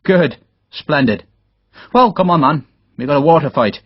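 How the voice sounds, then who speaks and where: A man's voice: a little high, animated, normal speed, no room sound, highs cut off, voice pushed up. A man voicing a hearty, blunt middle-aged Irish engineer captain in an audiobook. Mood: indignant, rousing. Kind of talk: audiobook character dialogue.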